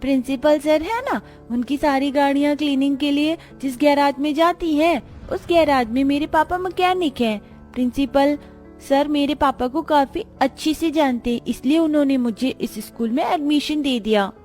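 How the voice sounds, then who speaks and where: A woman voicing a sweet, polite, earnest young schoolgirl in an animated moral story. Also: sits high, moderate pace, close to an everyday voice. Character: sweet, earnest poor schoolgirl, patient and easily hurt. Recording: music behind the voice.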